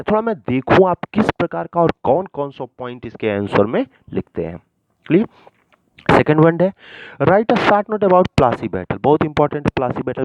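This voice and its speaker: A man teaching a recorded school lesson in an instructional way, often checking understanding and mixing in English terms. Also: a little high. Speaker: history teacher. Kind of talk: recorded school lesson, explaining exam questions. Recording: muffled, dull lo-fi audio.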